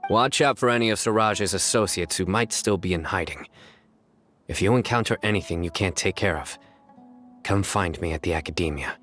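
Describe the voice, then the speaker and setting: A dutiful lawman from a video game, stern yet quietly considerate. His voice is low and his delivery terse and businesslike, with soft music behind. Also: moderate pace. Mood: composed, businesslike.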